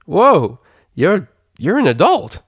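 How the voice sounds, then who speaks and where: A man's voice: sits high, huge pitch swings, takes it slowly, slightly rough, no echo, studio quality, pitched above the performer's own voice, exaggerated voice. A man voicing a brash, irritable young female pegasus pony from a cartoon story, with a cracking voice and slangy, blustering delivery. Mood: brash, startled. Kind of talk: animated character voice in a story reading.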